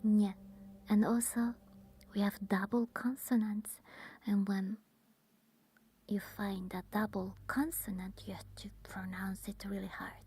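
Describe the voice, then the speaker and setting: Breathy whispering Italian woman. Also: mid-range voice, level tone, measured pace, pauses often. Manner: soft whispering. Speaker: ASMR streamer. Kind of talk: whispered ASMR live stream, chatting with viewers.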